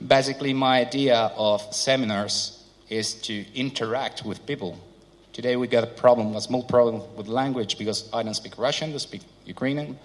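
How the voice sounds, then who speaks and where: A man whose first language is not English, giving a seminar talk through an interpreter, with many pauses and fillers. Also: medium pitch. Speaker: seminar speaker. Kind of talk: conference presentation with interpreter. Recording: hall reverb.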